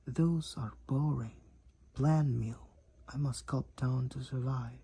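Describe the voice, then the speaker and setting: Man playing a slow, husky vampire boyfriend. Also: mid-range voice, dark tone. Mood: devoted, reassuring. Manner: archaic, courtly phrasing, tender endearments. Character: devoted, overprotective young-looking male vampire boyfriend, 128 years old. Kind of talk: intimate boyfriend roleplay audio, scripted acting.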